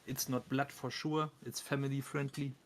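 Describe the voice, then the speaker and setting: A man's voice: mid-range voice, little pitch movement, normal speed, a bit bright. A man with a thick German accent doing live esports commentary on a game, casual, joking and self-deprecating as he talks to his viewers.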